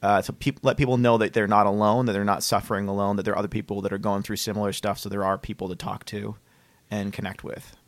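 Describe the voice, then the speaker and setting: A male podcast co-host speaks quickly in a lowish voice, recorded dry and close. He is informal and supportive as he chats with his guest. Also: little pitch movement, dry recording. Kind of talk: casual interview chat.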